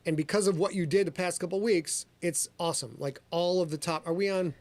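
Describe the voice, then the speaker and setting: A man hosting a casual podcast livestream, talking in an excitable, rambling, self-deprecating way. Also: high-pitched, a little fast. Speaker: podcast host and author.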